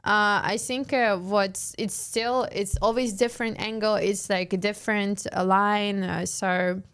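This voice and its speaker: A woman's voice: medium pitch, steady tone, measured pace, a bit bright, clear, resonant tone. A woman speaking as a podcast host in a casual, conversational interview style.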